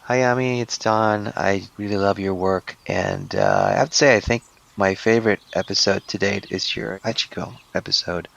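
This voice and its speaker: A man's voice: on the low side, normal speed, gravelly, a bit bright. A man leaving a casual voicemail message as a caller.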